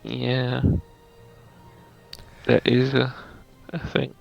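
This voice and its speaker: A man's voice: medium pitch, completely flat delivery, drawn-out words, vocal fry, dull audio, faint music behind. A man who is not a native English speaker, venting in casual chat as a stream co-host. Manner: frustrated venting, restarts often.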